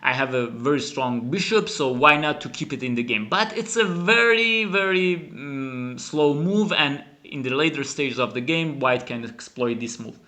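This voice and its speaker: A man who is a non-native English speaker gives animated, emphatic chess commentary as a teacher, dramatizing the game. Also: mid-range voice, normal speed, hardly any pauses. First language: not English. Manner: dramatic, emphatic, acts out the players' thoughts. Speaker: chess teacher and channel host.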